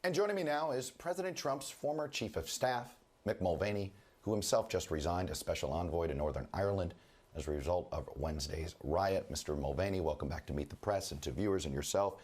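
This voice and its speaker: A man anchoring a news broadcast and interviewing a guest, speaking with a rough, hoarse voice while under the weather. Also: low voice, lively intonation, darkish tone. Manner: measured, formal newsreader delivery.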